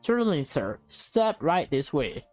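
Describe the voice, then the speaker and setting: A woman's voice: sits low, big pitch swings, a little slow, darkish tone, dry recording, faint music behind. A woman voicing a courteous, eager female real estate agent in a visual novel, with polite sales patter. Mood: polite, salesy.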